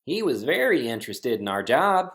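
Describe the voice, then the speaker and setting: A man's voice: mid-range voice, big pitch swings, normal speed, a bit bright. A man playing a simple, agreeable slaughterhouse worker in a comic audio play, folksy and laconic. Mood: mild, agreeable.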